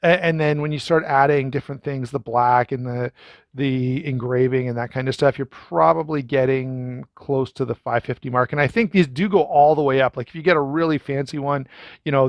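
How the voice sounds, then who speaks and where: A man's voice: medium pitch, dry recording. Chatty man reviewing a knife, dry sound. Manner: conversational, many fillers and restarts; addresses viewers directly. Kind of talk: casual first-impression review.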